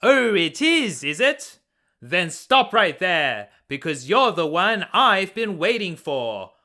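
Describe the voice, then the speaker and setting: A big, fearsome troll from a storybook, acted out by a man, loud and forceful. He taunts in drawn-out, swooping phrases, full of greedy menace and temper. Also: high voice, very animated, full character voice. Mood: menacing, taunting.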